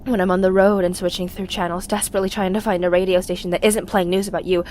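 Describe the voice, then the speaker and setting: Low-voiced grieving young rebel fugitive played by a woman. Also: slight background noise, natural voice. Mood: wistful, restless. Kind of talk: audio drama monologue, confessional tape diary.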